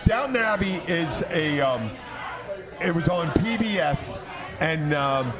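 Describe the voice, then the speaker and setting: A man's voice: on the high side, lively intonation, slow. A man hosting a casual podcast chat, bantering in a rambling, jokey way. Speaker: podcast host. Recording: outdoor urban spot with loud crowd.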